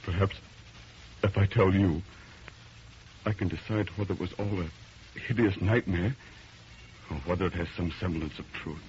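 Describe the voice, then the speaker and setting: Man acting a low-voiced, haunted husband. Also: a little mellow, natural voice. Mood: grave, recounting. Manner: grave, halting, melodramatic. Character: shaken, haunted husband recounting a night of horror. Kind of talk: 1930s radio drama acting. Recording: muffled, noisy 1930s radio recording.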